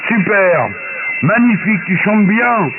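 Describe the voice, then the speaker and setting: Loud radio noise and a tinny narrow band frame a high-pitched Frenchman. He chats on ham radio in a familiar, teasing style, as operators do among friends. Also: slow. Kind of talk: casual radio contact chat. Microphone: radio transmitter microphone. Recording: very noisy, narrow-band shortwave radio transmission.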